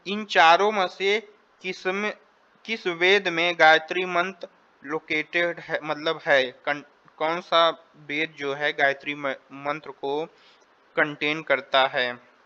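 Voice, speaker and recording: Indian male quiz tutor, slightly high voice, explanatory and repetitive, lo-fi sound. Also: sharp tone, clear, resonant tone. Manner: repeats and rephrases, switches between Hindi and English. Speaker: exam tutor. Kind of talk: quiz lesson, reading questions and explaining answers.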